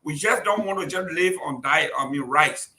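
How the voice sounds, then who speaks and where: A man's voice: fairly high pitch, moderate pace, sharp tone. A man hosting an interview, asking questions hesitantly with many filler sounds. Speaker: interview host. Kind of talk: conversational interview.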